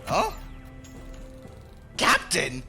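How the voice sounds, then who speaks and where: A man's voice: high voice, huge pitch swings, extremely slow, creaky, bright, forceful tone, faint music behind, full character voice. An actor playing a breezy, friendly male crewman in an audio drama, casual and familiar. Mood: urgent.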